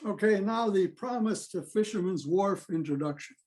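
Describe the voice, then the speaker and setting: A man's voice: high-pitched, moderate pace, a little mellow. A man giving an informal talk as presenter at a club meeting over a video call. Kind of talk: informal talk with audio clips.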